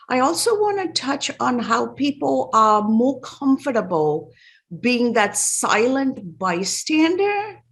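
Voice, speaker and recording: A woman from Sri Lanka hosting a podcast interview, speaking in a measured, empathetic and reflective way. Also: on the high side. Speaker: podcast host. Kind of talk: interview conversation.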